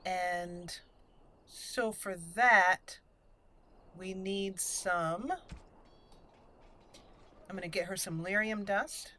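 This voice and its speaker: A woman's voice: mid-range voice, fairly even intonation, very slow, long pauses, a little hiss or hum. A woman hosting her gaming channel, chatting casually and thinking aloud as she plays. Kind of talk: casual let's-play commentary. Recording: voice-over over loud game music. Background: game music.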